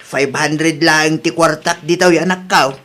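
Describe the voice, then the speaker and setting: A man's voice: on the high side, fairly even intonation, unhurried, sharp tone. Man acting older father, Ilocano accent, grudging, hard up, comedy radio drama.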